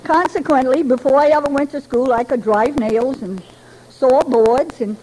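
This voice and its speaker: An older woman with a high voice shares her life story with a meeting, folksy and chatty with a light touch of humor. A faint hum of ambience sits behind her. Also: normal speed, dark tone, poor audio. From Rhode Island, New England. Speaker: Al-Anon member giving a talk. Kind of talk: personal recovery story told to a meeting. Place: speaking to a gathering.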